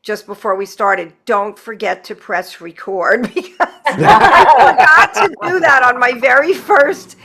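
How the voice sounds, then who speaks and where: Female podcast host, bright and fairly high-pitched, effusive and grateful in loose conversation. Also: animated, unhurried, vocal fry, breathy edge. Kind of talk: casual chat, wrapping up a group podcast interview.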